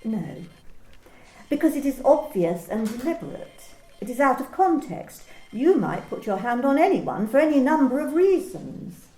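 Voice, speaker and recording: A woman's voice: high-pitched, big pitch swings, moderate pace, dark tone, faint music behind. A woman acting an officious, politely menacing manager in an amateur radio play, with formal, precise, probing questions. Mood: officious, pedantic. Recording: roomy, echoey home recording.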